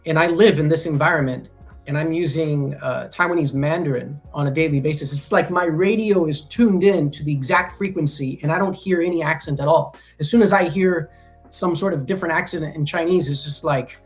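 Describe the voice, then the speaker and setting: A man's voice: fairly high pitch, a little fast, faint music behind. A man in a casual podcast conversation, talking at length with frequent ums and restarts. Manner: rambling, many ums and restarts. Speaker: guest, language company founder. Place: lives in Taiwan.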